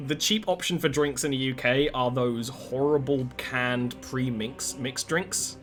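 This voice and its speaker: Young english guy from near cambridge, bright voice, chatty and umm-ing, faint music in the back. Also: medium pitch, a little slow. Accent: southern English, near Cambridge. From England. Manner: relaxed and chatty, hesitant with fillers. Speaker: game master. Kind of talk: casual chat between game sessions.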